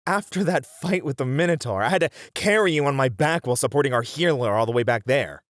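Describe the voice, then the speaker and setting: Man playing a grumbling, expressive fantasy warrior. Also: medium pitch, quick pace, no echo, clean studio sound, natural voice. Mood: exasperated. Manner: exasperated, grumbling, earnest. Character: gruff, grumbling, responsible muscular male warrior adventurer. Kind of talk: fantasy audio roleplay acting.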